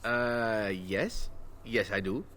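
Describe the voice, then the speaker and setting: An actor voicing a wry, courtly male elf assassin in a video game, speaking in measured, formal phrases. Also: fairly low pitch, fairly bright tone, a little hiss or hum. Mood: solemn. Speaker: game character.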